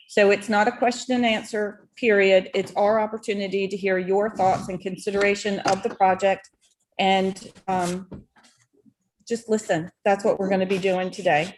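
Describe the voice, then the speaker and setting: A woman mayor runs a town public hearing, speaking in a plain, procedural way. She pauses on fillers as she explains the rules and calls for motions. Her pitch sits in a typical middle range. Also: rough recording. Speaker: mayor chairing the board meeting. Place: town meeting room.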